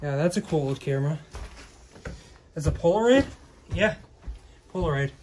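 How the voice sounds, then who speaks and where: Higher-pitched young man exploring. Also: expressive, normal speed, a little mellow, a little hiss or hum. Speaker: urban explorer filming a video. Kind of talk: casual exploring chat, narrating finds. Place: inside a cluttered abandoned house. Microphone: handheld camera or phone, held by speaker.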